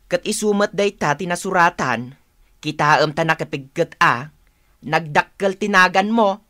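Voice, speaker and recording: A woman playing an exasperated younger woman in a radio drama, expressive and protesting, with an Ilocano accent. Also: on the low side, moderate pace, lightly acted. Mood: scolding. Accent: Ilocano, northern Philippines.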